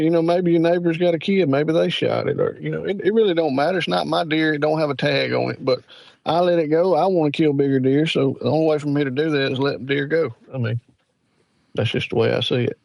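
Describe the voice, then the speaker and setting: A man's voice: on the high side, fairly quick, creaky, darkish tone, no room sound. A man in his forties with a Southern US accent, a guest telling rambling, folksy stories in a casual interview. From Mississippi, USA. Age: 40s. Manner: rambling, folksy, with lots of fillers. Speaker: guest hunter.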